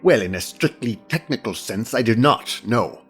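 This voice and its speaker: A man playing a fastidious, analytical senior inspector, speaking with precise, formal pomposity in an audio drama. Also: mid-range voice, animated, a bit bright, husky, soft background music. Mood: defensive, exacting.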